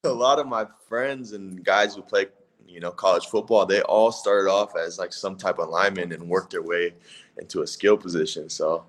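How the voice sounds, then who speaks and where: A man in his twenties from California, a college football player chatting in a relaxed, easygoing way as a guest. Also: low-pitched, normal speed. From California, USA. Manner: relaxed, laid-back, hedging with "if that makes sense". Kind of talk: casual interview chat.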